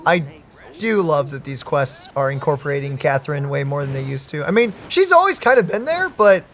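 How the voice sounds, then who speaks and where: A man's voice: a little high, very animated, brisk, a bit bright, a little hiss or hum. A man hosting a let's play, chatting casually and enthusiastically while he plays. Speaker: gaming video host. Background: game music.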